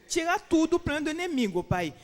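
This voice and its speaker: A woman's voice: high-pitched, big pitch swings, fast talker, long reverb tail. Woman preaching. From Benin. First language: French. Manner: earnest, with hesitant restarts and fillers. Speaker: missionary preacher. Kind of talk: sermon and prayer. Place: church sanctuary with a PA.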